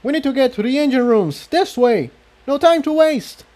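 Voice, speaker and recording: A man voicing an urgent, clever male pony adventurer with a hurried manner in a storybook reading. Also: very high voice, animated, a little mellow, voice pushed up.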